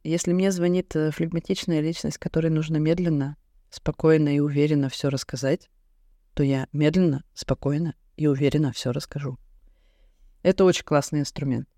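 Expressive woman podcaster, dry close sound. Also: sits low, fairly quick, no room sound. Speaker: podcast co-host. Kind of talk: casual chat between friends.

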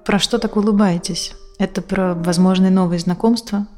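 A woman playing a calm, attentive psychotherapist in an audio drama, asking questions in a gentle, measured way. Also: medium pitch, natural voice. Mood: gentle, probing. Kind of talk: naturalistic audio drama, therapy session dialogue. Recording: music behind the voice. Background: music.

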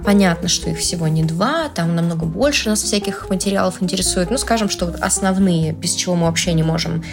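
A young woman from Russia, a translator, explaining things in a casual, playful vlog with slangy asides and animated emphasis on key words. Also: lowish voice. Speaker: translator and Italian language blogger. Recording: music playing loudly under the voice. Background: background music.

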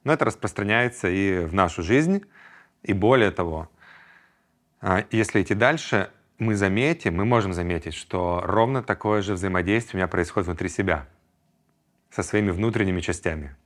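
A man's voice: sits low, moderate pace. A man in his thirties hosting a solo podcast, talking reflectively and acting out his inner voices in quoted asides. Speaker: podcast host.

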